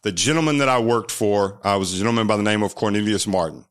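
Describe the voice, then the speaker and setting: A man's voice: fairly low pitch, fast talker, a bit bright, smooth. An American man in his thirties, a podcast guest telling his life story candidly, often repeating words as he starts a sentence.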